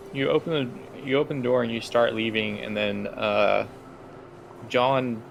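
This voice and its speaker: A man with a low, gravelly voice is running a tabletop role-playing game. He narrates casually and haltingly, as if talking to friends. There is faint noise behind him. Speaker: game master.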